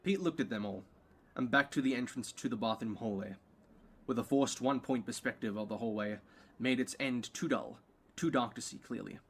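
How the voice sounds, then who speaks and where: A man's voice: mid-range voice, normal speed, slightly rough. A man reading scripted narration for an audio play, telling the story scene by scene.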